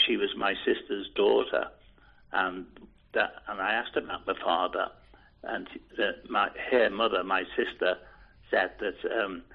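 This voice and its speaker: A man in his eighties from Liverpool, England, recalling his life in a hesitant, halting way as an oral history interviewee. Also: lowish voice, gravelly, slightly rough. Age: 80s. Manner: hesitant, halting, with many restarts. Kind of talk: rambling reminiscence. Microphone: telephone. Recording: over a phone line.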